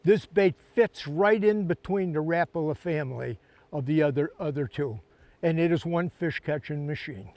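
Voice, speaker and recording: Higher-pitched older man, folksy fishing host. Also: moderate pace, darkish tone. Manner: folksy, emphatic repetition. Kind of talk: casual fishing commentary and lure talk. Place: outdoors on an open fishing boat on a river.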